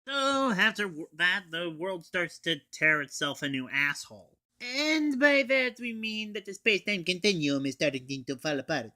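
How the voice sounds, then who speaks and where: A man's voice: high voice, very animated, moderate pace, few pauses, bright, forceful tone. A teenage male anime reviewer giving an informal comic recap in a dry, slangy way. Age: teens.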